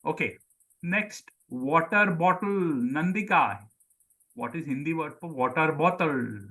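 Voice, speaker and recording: Indian man teaching, fairly high-pitched voice. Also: expressive, measured pace, bright, forceful tone. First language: Sindhi. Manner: repeats words for pupils, calls on them by name. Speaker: Hindi teacher. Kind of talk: live online group lesson, questioning pupils and explaining.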